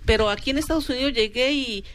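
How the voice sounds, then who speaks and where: A woman's voice: fairly high pitch, quick pace, a bit bright, slight background noise. A middle-aged woman from Mexico hosting a radio talk show, chatting casually with plenty of fillers. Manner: conversational, many fillers like "o sea".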